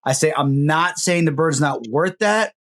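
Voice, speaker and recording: A man's voice: on the high side, a little slow, fairly bright tone. A man from New Jersey co-hosting a podcast, bantering casually with joking, salty, haggling patter. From New Jersey, United States. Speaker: podcast co-host.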